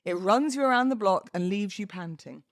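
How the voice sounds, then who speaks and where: A British woman hosting a podcast, moving between informal talk and expressive readings of verse. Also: mid-range voice, fairly quick, no room sound, clean studio sound. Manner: chatty, informal, giggly. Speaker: podcast host. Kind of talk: casual podcast chat with dramatic poetry readings.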